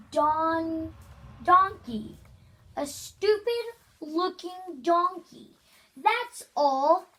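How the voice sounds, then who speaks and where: A child reader playing a cheeky, defiant schoolboy from a storybook, arguing back insistently and haltingly. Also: very high-pitched, fairly bright tone, voice pushed up, exaggerated voice.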